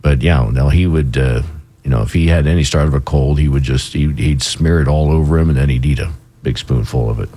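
A man hosting a morning radio news show, chatting casually with restarts, his throat a little hoarse from a cold. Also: rumbling low, brisk, dark tone. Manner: chatty, stumbling restarts, laughs often. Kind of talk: casual on-air banter. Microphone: studio microphone.

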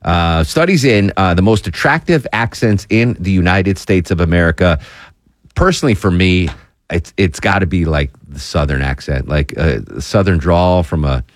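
A man's voice: sits low, normal speed, no echo. A man with a Connecticut accent hosting a radio talk show in a studio, rambling and chatty with lots of fillers. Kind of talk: casual on-air chat. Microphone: studio microphone.

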